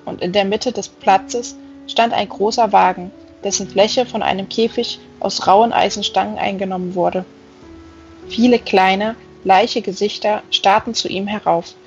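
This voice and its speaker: A woman reading a fantasy novel aloud as scripted audiobook narration. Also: medium pitch. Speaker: audiobook reader. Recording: dull lo-fi sound.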